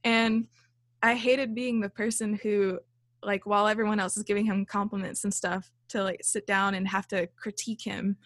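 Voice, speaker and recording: A woman's voice: mid-range voice, level tone, moderate pace, dry recording. A young woman who leads a band, chatting casually in an interview with a small, light voice, lots of fillers and frequent laughs. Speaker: band leader and songwriter.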